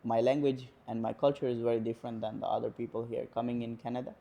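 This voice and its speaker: A man in his twenties with an Indian accent, a guest answering questions in a casual interview, hesitant with many pauses and fillers. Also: medium pitch, level tone, vocal fry, dark tone. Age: 20s.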